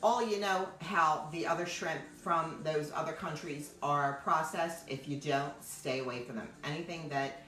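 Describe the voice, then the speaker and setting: A middle-aged woman with a loud, deep voice hosts a live cooking demo from her home kitchen, chatting and rambling as she thanks her viewers. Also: unhurried, few pauses. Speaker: cooking show host. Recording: echoey kitchen, voice from far off.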